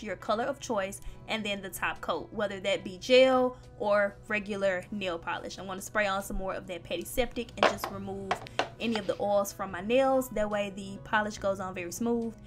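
A woman's voice: medium pitch, normal speed. A young woman narrating a beauty vlog, chatty and playful, talking straight to her viewers. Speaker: beauty vlogger. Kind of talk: casual voice-over narration. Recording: faint background music.

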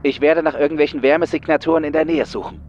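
A man's voice: fairly high pitch, fairly even intonation, fast, darkish tone, lo-fi sound, a little hiss or hum, voice pushed up, natural voice. A man voicing an anxious female astronaut in a horror audiobook, urgent and panicky. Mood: panicked. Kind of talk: dramatised horror audiobook dialogue.

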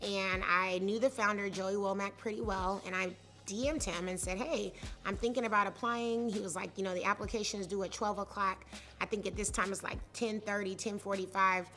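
A woman in her thirties, a startup founder, telling her personal story in an interview, candid and chatty, in a high-pitched voice. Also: fairly even intonation, a little fast, pushed and bright. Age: 30s. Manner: candid, conversational, with many fillers. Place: studio set with leafy wall.

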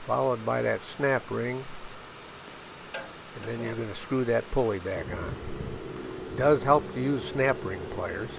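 A man's voice: mid-range voice, measured pace, gravelly, long pauses. An older man from the States narrating an assembly how-to in a plain, hesitant way with many fillers. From United States. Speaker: video host showing machine assembly. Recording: voice recorded outdoors with steady background noise.

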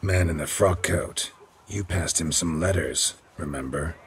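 An actor playing a gruff, practical swordsman in a video game, speaking in terse, dry, clipped phrases. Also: low-pitched, measured pace, full character voice. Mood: businesslike. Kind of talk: video game dialogue acting.

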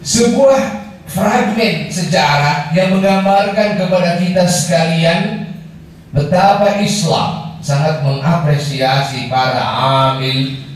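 A middle-aged man with an Indonesian accent giving a formal, measured and emphatic Friday sermon with Arabic recitation. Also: high voice. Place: indoor mosque prayer hall. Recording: very echoey hall, distant, faint noise.

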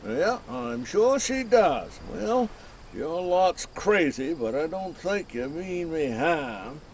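An actor playing a wary, folksy old lighthouse keeper in an audio drama, gruff and drawling. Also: male voice, high voice, expressive, slow, a little hiss or hum, heavily acted. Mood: wary, commanding. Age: older.

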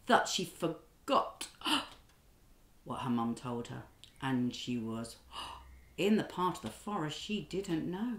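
A middle-aged woman leading a children's drama class and telling a story in an animated, expressive, encouraging way. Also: fairly high pitch, reverberant.

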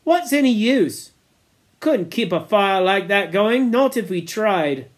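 A man's voice: high-pitched, lively intonation, takes it slowly. A man reading aloud and voicing an indignant, put-upon schoolboy who insists on being heard. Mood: glum. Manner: indignant, whiny.

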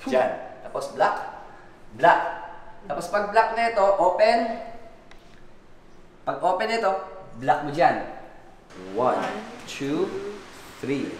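A man's voice: lively intonation, slow, pauses often. A middle-aged man with a Filipino accent, energetically teaching fight choreography, counting moves and making vocal sound effects. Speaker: fight coordinator, coach. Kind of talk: hands-on fight choreography instruction. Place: hard-walled home kitchen, indoors. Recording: echoey room, boomy reverb, slight noise.

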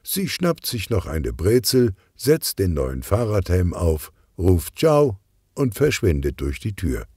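A man narrating a scripted children's audiobook in an expressive, deliberate storytelling manner. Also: low voice, husky, no room sound, studio quality.

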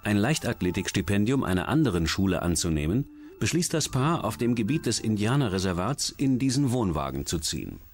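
A man's voice: fairly low pitch, very animated, a little fast. A man reading scripted narration as a documentary narrator. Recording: music behind the voice. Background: background music.

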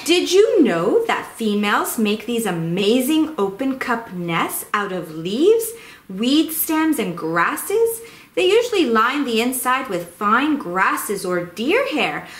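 A woman plays a cheerful male bluebird on a children's puppet show. The voice is high and swoops around as it explains things in a chirpy way, with a roomy echo. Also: sing-song, slow pace. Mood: proudly explaining. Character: cheerful male indigo bunting bird, proud father-to-be.

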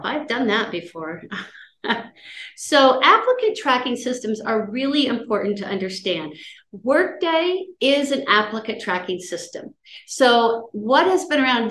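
Chatty woman presenter with a loud voice. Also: mid-range voice, very animated, moderate pace. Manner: chatty, conversational, checks in with listeners. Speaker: career services presenter. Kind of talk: casual lecture on job searching. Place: office, indoors, on a video call. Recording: roomy video-call audio.

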